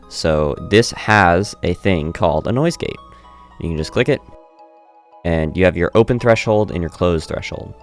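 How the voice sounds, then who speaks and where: Low-voiced man casually explaining audio settings. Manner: relaxed, self-correcting explainer. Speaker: streamer demonstrating audio software. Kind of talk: casual screen-recorded tutorial. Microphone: studio dynamic mic through audio interface.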